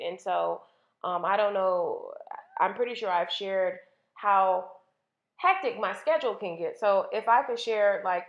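A woman in her thirties hosting a casual solo podcast, talking candidly with lots of "like" and "um". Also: mid-range voice. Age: 30s. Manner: chatty, candid, uses filler "like" and "um". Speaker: podcast host. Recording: roomy, dull lo-fi sound.

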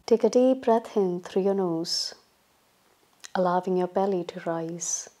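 Slow, mellow woman guiding meditation. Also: on the low side. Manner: calm, soothing, instructional, with long pauses. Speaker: yoga instructor. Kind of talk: guided relaxation meditation.

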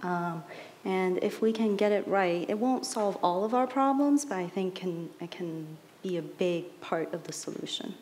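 A woman on a panel, adding a polite and measured point. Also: on the low side, darkish tone, slight background noise. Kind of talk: panel discussion answer.

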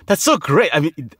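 A man hosting an interview podcast, chatty and quick to laugh, often restarting his phrases. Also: fairly high pitch, fairly bright tone, husky, no room sound, clean studio sound. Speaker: podcast host. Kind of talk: casual interview.

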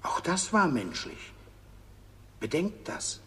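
An actor playing a grateful, proud army cook, earnest and declamatory in a theatrical radio play. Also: male voice, fairly high pitch, very animated, takes it slowly, a bit bright, exaggerated voice. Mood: insistent. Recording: long hall-like reverb.

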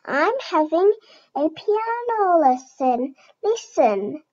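A woman's voice: very high voice, very animated, takes it slowly, darkish tone, heavily acted. A woman voicing eager young girl animals from a storybook, with childlike, simple phrasing. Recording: dull lo-fi home recording.

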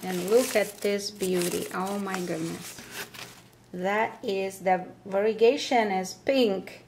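Female vlogger for casual unboxing narration: unhurried, hesitant phrasing, faint background noise with crinkling paper. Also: mid-range voice, steady tone, vocal fry. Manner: halting, pausing between short phrases. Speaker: vlogger presenting her plant unboxing. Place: indoors at a table. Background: crumpling paper packaging.

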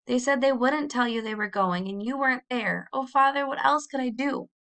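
A woman's voice: mid-range voice, a little slow, fairly bright tone. A woman voicing a frightened but brave young pioneer girl in a storybook, pleading earnestly and urgently.

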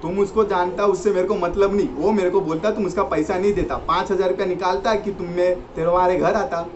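A man putting on a curt, threatening street tough in a prank phone call, insistent and slangy. His voice is fairly high, in a dull recording with clear noise. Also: fairly even intonation, brisk, lightly acted. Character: pushy male street tough demanding money. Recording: dull, noisy room recording.

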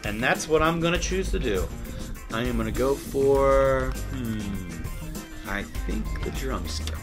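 A man's voice: medium pitch, a little slow. A middle-aged man, a father hosting a family game video, chatting playfully and teasingly with a child. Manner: animated, teasing. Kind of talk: playful casual chat with a child. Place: indoor toy-filled room at a table. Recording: music behind the voice. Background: music.

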